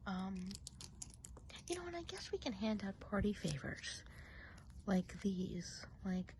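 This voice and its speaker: A woman playing a friendly, gossipy witch of the woods in a soft, breathy ASMR roleplay, often whispering and musing gently. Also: mid-range voice, big pitch swings, noisy background. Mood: gossipy, chatty. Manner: chatty, gentle, unhurried musing.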